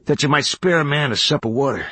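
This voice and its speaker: A man's voice: mid-range voice, animated, fast talker, a bit bright, slightly rough, dry recording, pitched above the performer's own voice. A man voicing a laconic, plain-spoken young wanderer in an audiobook, with folksy speech. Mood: asking. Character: plain-spoken rustic youth, a wandering male kid. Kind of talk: audiobook character voice.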